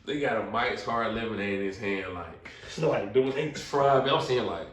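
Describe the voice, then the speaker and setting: A young man spins a story in loose, slangy talk. He jumps into other people's voices when he quotes them. His voice sits a little low and comes from a distance in a roomy, echoing space. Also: moderate pace. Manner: animated, slangy, acts out quotes in a high voice. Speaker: podcast guest. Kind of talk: casual storytelling. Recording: roomy echo, distant mic.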